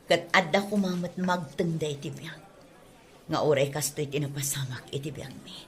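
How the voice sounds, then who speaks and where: A woman's voice: low-pitched, steady tone, normal speed, fairly bright tone. A woman playing a humble, weary, devoted mother in a radio drama, speaking earnestly and plaintively. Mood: sorrowful, weary. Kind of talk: radio drama acting in Ilocano.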